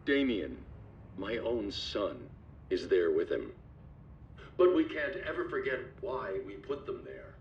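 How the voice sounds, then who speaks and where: An actor playing a grave, stern middle-aged male vigilante in a video game cutscene, speaking in a measured, restrained way. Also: fairly low pitch, sing-song, lo-fi sound, a little hiss or hum. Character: brooding grim male vigilante hero, stern. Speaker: masked vigilante and father.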